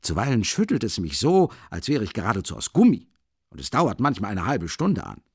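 A man's voice: wildly swooping pitch, fairly quick, husky, no room sound, clean studio sound, heavily acted. Man doing a fussy, giggly stout magistrate. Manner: fussy, ingratiating, jumping up and down in pitch. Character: stout, nervous, giggly middle-aged male magistrate.

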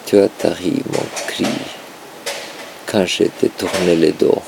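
A man recites poetry in a low, heavily creaking voice. He breaks the verse into short, dramatic fragments with halting gaps between them. A faint rumbling hiss sits under the recording. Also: flat delivery. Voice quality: gravelly, creaky. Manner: halting, dramatic delivery in short broken phrases. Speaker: poetry translator and performer. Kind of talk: performative poetry reading.

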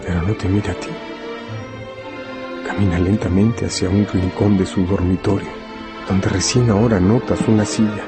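Radio drama: a man plays a grave male storyteller, hushed and deliberate, intimate delivery, music underneath. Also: low-pitched, steady tone, lightly acted. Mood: suspenseful. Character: grave, intimate male narrator addressing the hero as you. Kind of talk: dramatised radio novel narration.

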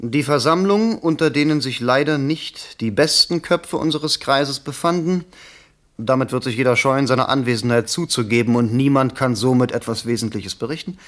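A man plays a suave, cynical newspaper reporter in a 1970s German radio drama. He speaks in a mid-pitched voice with measured, persuasive eloquence. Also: fairly bright tone, natural voice. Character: smooth, cynical newspaper reporter coaching a politician.

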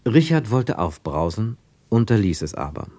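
Wry observant narrator, expressive intonation, measured, lowish pitch, radio play. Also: male voice, dry recording. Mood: reflective.

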